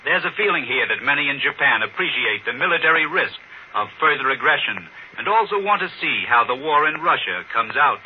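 A man's voice: mid-range voice, very animated, a little fast, slight background noise. Muffled 1940s American radio correspondent, male. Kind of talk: 1940s radio news commentary.

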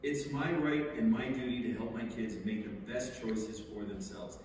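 A man's voice: mid-range voice, steady tone, normal speed, darkish tone. Emphatic man orating in echoey hall. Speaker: stage reader. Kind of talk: prepared speech read from a podium. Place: large indoor theater stage. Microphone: far camera. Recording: very echoey theater hall, distant mic, hiss.

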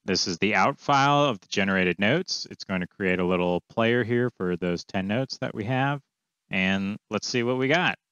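A man's voice: fairly low pitch, moderate pace, fairly bright tone, highs cut off. A man in his thirties giving a casual screencast tutorial, informal and offhand, thinking aloud as he explains. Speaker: coding tutorial presenter. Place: small indoor room, screen recording with webcam.

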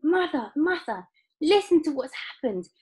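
A woman's voice: on the high side, expressive, normal speed, warm tone, voice pushed up. A woman voicing an eager, earnest little boy in a breathless way. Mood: breathless excitement.

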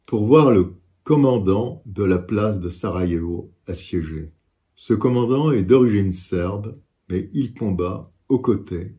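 A man in his sixties recalling his years as a war cameraman in a casual, lively storytelling way, talking at home in his living room. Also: lowish voice. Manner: lively storytelling, quick bursts. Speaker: former war cameraman recounting. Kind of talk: casual spoken recollection.

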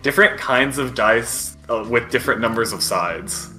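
Man, game master, bright voice, explaining a tabletop game, faint music behind. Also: medium pitch, normal speed.

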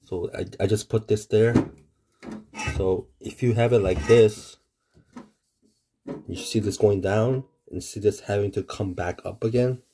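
A man casually explaining a home heater repair, halting with fillers and restarts, recorded on a phone in a basement utility room. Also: on the low side, fairly even intonation, warm tone, a little hiss or hum. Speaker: homeowner showing a furnace repair. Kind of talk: casual how-to video. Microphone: phone camera held close.

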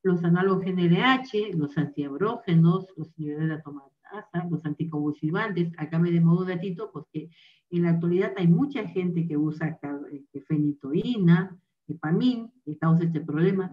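A woman's voice: fairly low pitch, far from the mic. Woman lecturing over a dull video call. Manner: explanatory, checks in with "¿no?" and "¿ok?". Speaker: rheumatologist giving a talk. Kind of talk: online medical lecture. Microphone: video call microphone.

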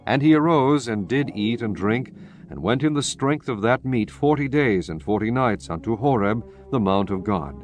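A man narrating a dramatized Bible from a script, solemn and measured in his storytelling. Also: medium pitch, animated. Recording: music bed under the voice. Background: background music.

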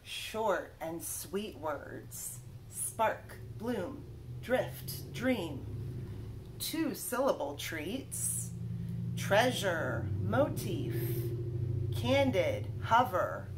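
A woman reads a picture book aloud for children at a very unhurried pace. Her pitch is middling but moves around a lot, and she draws out key words with care. There is faint noise behind her in a home room. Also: poor audio. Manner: careful, drawn-out word-by-word emphasis. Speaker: teacher reading aloud to children. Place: small living room, indoors.

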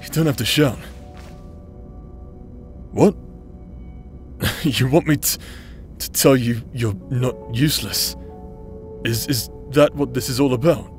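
A man's voice: mid-range voice, takes it slowly, pauses often, faint music behind, natural voice. A man acting out a cocky, battle-worn adventurer, protective and teasing, in an audio roleplay.

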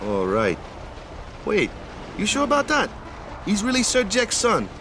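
A man's voice: high-pitched, wildly swooping pitch, unhurried, background noise, heavily acted. An actor playing a laid-back, defensive but good-hearted young male athlete in a video game, talking colloquially with tag words. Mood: resigned, thoughtful. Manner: colloquial, tags sentences with "eh", "ya" or "huh". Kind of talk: video game cutscene acting. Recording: music behind the voice.

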